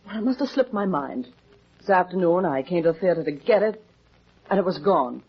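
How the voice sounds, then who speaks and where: An actress playing an evasive, anxious stage performer with a secret in a 1950s radio drama, hesitant and pleading. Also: female voice, fairly low pitch, expressive, fairly quick, husky. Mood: flustered, defensive. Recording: muffled 1950s radio recording.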